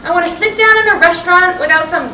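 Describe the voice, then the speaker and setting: A woman acting a restless, sharp-tongued middle-aged wife in a staged reading of a play, expressive and pointed. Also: very high voice, quick pace. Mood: pleading. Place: indoor live reading at a college. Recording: echoey room from far off, noisy.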